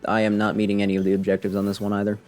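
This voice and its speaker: Low-voiced man grumbling through a game stream. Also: completely flat delivery, very fast, a little mellow, soft background music. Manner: grumbling, wry, talking to himself and the game. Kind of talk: live gameplay commentary, casual chat. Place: live stream, game music behind the voice.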